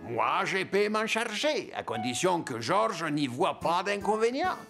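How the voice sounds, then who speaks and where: An actor playing an excitable, helpful restaurant chef in a cartoon, with an effusive, exclamatory manner. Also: male voice, high voice, measured pace, creaky, over loud music, full character voice. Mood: eager, helpful.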